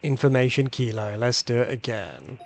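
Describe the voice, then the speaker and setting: A man's voice: medium pitch, animated, normal speed, creaky. A man hosting a flight simulator video, thinking aloud in casual tutorial commentary and reading back radio calls. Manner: thinks aloud while demonstrating.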